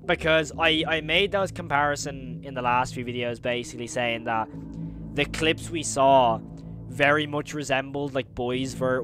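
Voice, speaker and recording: Young man giving casual, enthusiastic reaction commentary. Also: medium pitch. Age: young adult. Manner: enthusiastic, informal, slangy. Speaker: reaction video host. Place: small bedroom studio, indoors. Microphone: close boom-arm microphone.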